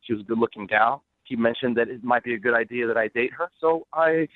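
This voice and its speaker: A man with a middling pitch talks over a narrow telephone line. He is blunt, self-assured to the point of smugness, and never apologetic. The sound is dry and thin, like a call taken on air. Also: fairly even intonation, fast, no room sound. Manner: blunt, smug, unapologetic. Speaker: phone guest on a radio show. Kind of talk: unscripted phone call conversation. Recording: band-limited phone line.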